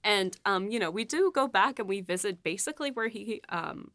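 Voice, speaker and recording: A woman's voice: mid-range voice, animated, a little fast, fairly bright tone, dry recording, studio quality. A woman co-hosting a podcast as a writer, chatting enthusiastically with stammers and giggles. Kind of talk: casual behind-the-scenes chat.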